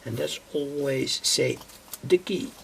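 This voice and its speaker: Slow gravelly man at his workbench. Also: medium pitch, a bit bright, slight background noise, low-quality recording. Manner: rambling, self-correcting, thinking aloud. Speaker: radio hobbyist demonstrating equipment. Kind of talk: casual workbench demonstration.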